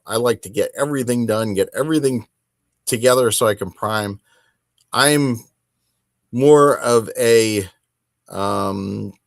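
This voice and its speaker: Man, medium pitch, casual hobby podcast host, conversational, emphatic, self-correcting, dry close-miked sound. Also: no room sound. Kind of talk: casual solo hobby talk.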